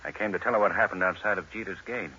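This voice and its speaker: An actor playing a cool, menacing casino racketeer in a 1940s radio crime drama, clipped and wry. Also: male voice, sits low, fairly even intonation, quick pace, sharp tone. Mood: cool, controlled. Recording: muffled vintage radio with faint hiss.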